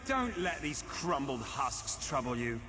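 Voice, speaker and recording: An actor playing a scheming, vengeful demon prince in a fantasy video game, speaking in a theatrical, silky, menacing and deliberate manner. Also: male voice, medium pitch, very animated, noisy background. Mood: smug menace. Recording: long cavernous reverb, game ambience behind.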